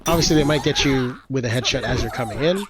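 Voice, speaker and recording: A man's voice: mid-range voice, fast talker, a loud crowd. A congested man casually commentating his own game matches, explaining his plans with lots of fillers. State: congested, slightly stuffy.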